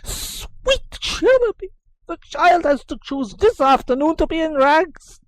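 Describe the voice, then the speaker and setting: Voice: high voice, expressive, slow, no room sound, voice pushed up, low-quality recording. A reader voicing a fussy, superstitious old nursemaid who scolds and calls on the saints. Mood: alarmed. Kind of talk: audiobook character voice.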